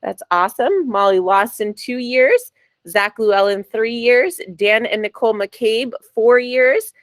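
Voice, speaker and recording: Raspy-voiced woman hosting a meeting. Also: a little high, unhurried, no echo. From New Jersey. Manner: chatty, upbeat, frequent ums. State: raspy this morning. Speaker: sales meeting host. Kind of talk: casual meeting announcements.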